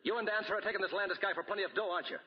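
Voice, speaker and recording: Actor as a fast, high-pitched menacing thug. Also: male voice, close to an everyday voice. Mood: insistent. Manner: slangy, bullying, insistent. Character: menacing small-time thug muscling into a swindle. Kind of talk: 1940s radio drama acting. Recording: dull 1940 radio broadcast recording.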